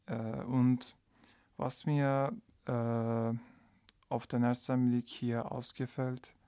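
A man's voice: mid-range voice, little pitch movement, extremely slow, mellow, clean tone, no room sound. A man, a student guest in a podcast interview, speaking German hesitantly with many filler sounds. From Turkey. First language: Turkish. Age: 20s.

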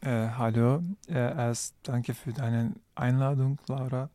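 Young man, halting speech full of fillers, slow, mellow smooth voice. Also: mid-range voice, flat delivery, no room sound. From Turkey. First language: Turkish. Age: 20s. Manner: hesitant, many filler sounds and restarts. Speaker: guest, international student. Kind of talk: podcast interview.

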